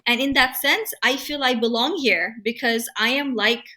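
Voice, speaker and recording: A woman from Nepal talking in a casual panel discussion, animated and emphatic, quick to laugh at herself. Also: a little high. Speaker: podcast panelist.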